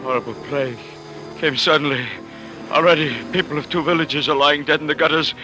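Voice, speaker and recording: A man's voice: a little high, steady tone, a little fast, bright, forceful tone. Frightened village man, clipped urgent warnings, vintage radio drama with music behind. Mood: grim, alarmed. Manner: urgent, broken clipped phrasing. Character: frightened foreign local man warning travelers of plague. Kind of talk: old-time radio drama acting.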